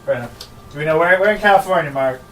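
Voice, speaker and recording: A man at a tabletop game who relays viewers' chat to the table at a quick clip. His voice sits a little high. He is far off in an echoey room with clear noise behind. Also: fairly bright tone. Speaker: player reading stream chat.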